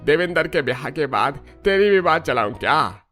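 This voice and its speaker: A man's voice: a little high, expressive, moderate pace, sharp tone. A man voicing an old village father in a cartoon, homely, plaintive and pressing. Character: old village father longing for family and grandchildren. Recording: music bed under the voice.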